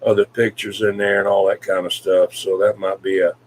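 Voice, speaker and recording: A man in casual CB radio chat, talking in a folksy, rambling, hesitant way with frequent pauses. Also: low voice, flat delivery, a little hiss or hum. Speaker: CB radio hobbyist, video maker.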